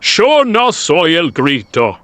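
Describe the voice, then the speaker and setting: Casting: male actor as a fervent desert prophet for scripture audio drama, higher-set voice, measured and emphatic. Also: wildly swooping pitch, fairly bright tone, dry recording, heavily acted. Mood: firm denial. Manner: slow, solemn, emphatic proclaiming. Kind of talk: Bible radio play acting.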